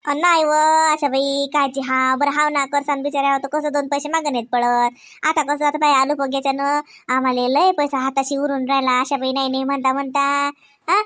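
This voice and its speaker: A woman's voice: high-pitched, level tone, a little fast, fairly bright tone. A woman voicing a chatty, unpolished village sister-in-law in a comic cartoon, with a Varhadi Marathi accent and a folksy, rambling, word-searching manner. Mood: chatty, explaining.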